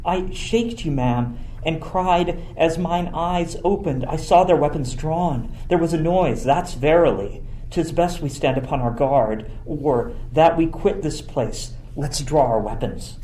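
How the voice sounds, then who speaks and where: A man's voice: a little high, measured pace, few pauses, dark tone, slight background noise, close to an everyday voice, poor audio. A man playing a courteous, watchful courtier with formal delivery in a Shakespearean radio play. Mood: alarmed.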